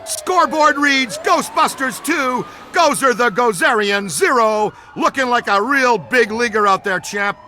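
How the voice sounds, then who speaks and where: An actor playing an enthusiastic, boyish middle-aged ghost hunter in a video game, full of folksy banter. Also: male voice, very high-pitched, expressive, a little slow, few pauses, bright, forceful tone, a little hiss or hum, full character voice. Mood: rousing. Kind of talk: video game character acting.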